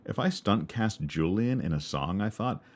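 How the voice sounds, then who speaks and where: A man with a baritone voice, narrating a memoir for his podcast in a wry, reflective way. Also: lively intonation, moderate pace. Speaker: podcast host.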